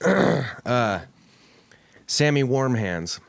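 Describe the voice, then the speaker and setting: A man's voice: medium pitch, big pitch swings, drawn-out words, gravelly, no echo. A man from Oregon hosting a casual podcast, talking in a crude, profane and joking way. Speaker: podcast host.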